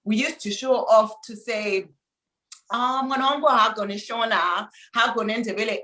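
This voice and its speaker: Zimbabwean woman host, effusive and eager, mid pitch, roomy echo. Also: a bit bright. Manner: enthusiastic, effusive. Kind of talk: casual interview chat. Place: Australia. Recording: echoey room.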